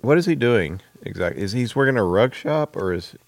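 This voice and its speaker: A man hosting a casual author interview in a halting, chuckling way. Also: mid-range voice, expressive, no echo. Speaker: interview host.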